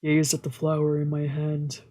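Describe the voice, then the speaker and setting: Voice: mid-range voice, monotone, moderate pace, darkish tone. A streamer reading a visual novel aloud as storytelling narration, with casual musing asides. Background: visual novel background music.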